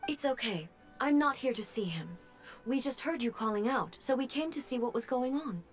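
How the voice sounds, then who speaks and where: An actress playing a calm, regal female ruler who speaks in a measured, formal way. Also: a little high, faint music behind, lightly acted. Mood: composed.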